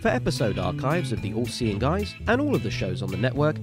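Animated male podcast announcer over music. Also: mid-range voice, a little fast. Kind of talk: scripted promotional narration.

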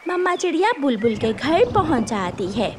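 High-pitched woman telling a children's story. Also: very animated, moderate pace, noisy background. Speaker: narrator. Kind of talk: scripted children's story narration.

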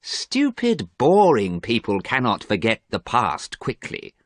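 A vain young gentleman from a storybook, acted out by a male narrator, haughty and quick-tempered. His delivery is theatrical and swings very widely in pitch, lifting well above a normal talking register, in a dry, close recording. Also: wildly swooping pitch, dry recording, heavily acted. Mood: angry, cold.

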